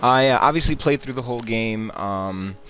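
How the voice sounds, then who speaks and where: A man's voice: mid-range voice, moderate pace, a bit bright. A man hosting a gaming channel, giving casual, rambling reaction commentary full of fillers and repeated words.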